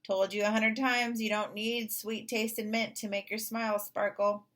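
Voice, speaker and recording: A woman's voice: medium pitch, flat delivery, a little slow, fairly bright tone, natural voice. Woman acting firm, loving storybook mom. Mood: scolding, firm. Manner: folksy, no-nonsense talk.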